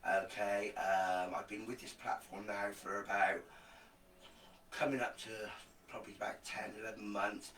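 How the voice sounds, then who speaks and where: Groggy-voiced man, low and echoey. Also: sharp tone, far from the mic. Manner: rambling and effusive, many fillers, repeats words for emphasis. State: groggy voice after illness. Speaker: live streamer promoting an online program. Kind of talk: casual live video chat to viewers.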